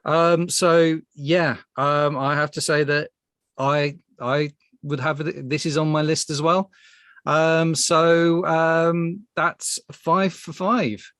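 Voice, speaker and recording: A man hosting a casual panel chat, speaking haltingly and often repeating his words. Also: a little high, little pitch movement, a bit bright, dry recording. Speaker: podcast host.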